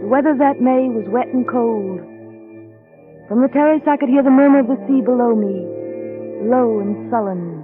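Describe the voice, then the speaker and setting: A woman's voice: fairly high pitch, moderate pace, phone-quality sound, over music, close to an everyday voice. An actress playing a timid, anxious young wife who tells her gothic story in 1940s radio style. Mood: brooding narration. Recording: thin, band-limited vintage radio recording.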